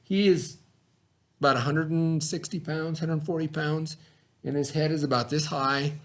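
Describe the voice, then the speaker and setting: A man with a slightly high-pitched voice speaks as a teacher to young children. He reads and talks in an expressive way. His phrasing is simple and addressed straight to his young listeners. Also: normal speed. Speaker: teacher reading aloud. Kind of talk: children's story read-aloud, then informal talk to children.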